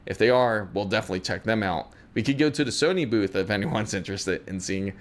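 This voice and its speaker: A man hosting a live tech stream, talking casually and reading viewer questions aloud, with plenty of fillers and restarts. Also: fast talker. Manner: lively pitch. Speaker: tech livestream host answering chat questions.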